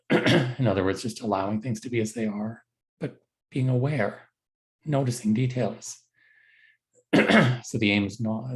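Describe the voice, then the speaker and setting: A man with a fairly low voice leads a guided body scan meditation as a teacher. He gives gentle instructions in short phrases, leaving unhurried pauses between them.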